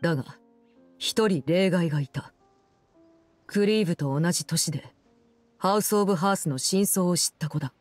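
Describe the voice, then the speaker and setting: An actress playing a cold, composed game villainess, telling a story in a measured, solemn and restrained way. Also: female voice, lowish voice, darkish tone, no echo, soft background music, close to an everyday voice. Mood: somber, restrained. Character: cold, composed woman, Fatui Harbinger who runs an orphanage. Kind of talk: scripted game story narration.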